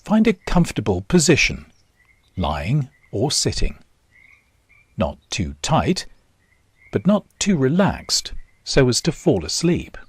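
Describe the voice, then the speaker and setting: A man guiding a body scan meditation in short, measured instructions with long pauses. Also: medium pitch, wildly swooping pitch, breathy edge, no echo. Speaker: meditation guide. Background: nature sounds.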